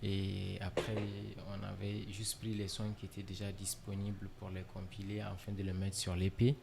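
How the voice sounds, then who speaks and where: A man from Niger, a musician guest in a casual interview, relaxed and filler-heavy, dropping in English words. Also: low voice, moderate pace, vocal fry, background noise.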